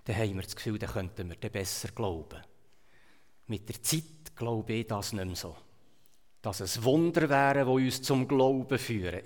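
A man from Switzerland with a Swiss German accent, preaching a sermon in a storytelling manner, swinging between loud emphasis and quiet asides. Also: medium pitch. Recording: reverberant hall.

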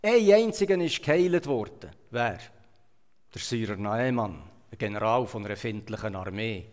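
A man from Switzerland with a Swiss German accent, preaching a sermon in a storytelling manner, swinging between loud emphasis and quiet asides. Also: on the low side, expressive, normal speed. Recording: reverberant hall.

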